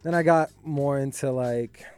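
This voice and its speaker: A man's voice: medium pitch, a little slow, clear, resonant tone. A young man who grew up in Jordan and Canada, with Arabic as his first language, speaking as a guest musician in a casual radio interview. He talks loosely and rambles, leaning on "like" a lot. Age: young adult. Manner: relaxed, rambling, heavy use of "like".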